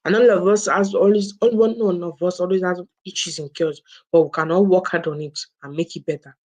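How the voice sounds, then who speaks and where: A woman's voice: fairly low pitch, steady tone, fairly quick, a little mellow. A woman co-hosting a book review, explaining informally and often restating and restarting her phrases. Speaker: co-host presenting a book review.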